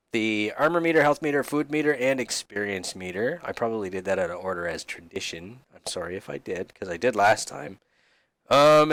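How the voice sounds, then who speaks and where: A man's voice: mid-range voice, a bit bright, dry recording. A man hosting a casual game review channel, goofy and rambling, a little sick and sniffly today. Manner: goofy, rambling, jokey, affectionate toward viewers. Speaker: YouTube host reviewing game resource packs. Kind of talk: casual gameplay commentary.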